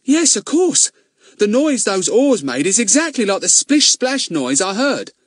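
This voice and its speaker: Guy doing a squeaky loud cockney kid, all worked up, dry close sound. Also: very animated, measured pace, breathy edge, dry recording, full character voice. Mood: eager. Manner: exclaiming, breathless enthusiasm. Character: excitable cockney boy, eager and loud.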